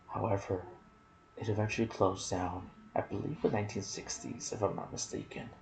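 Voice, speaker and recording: Low, gravelly ASMR man. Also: steady tone, brisk, background noise. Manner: soft-spoken, sometimes dropping to a whisper. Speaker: gaming video host. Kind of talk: ASMR gaming commentary, reading trivia with asides.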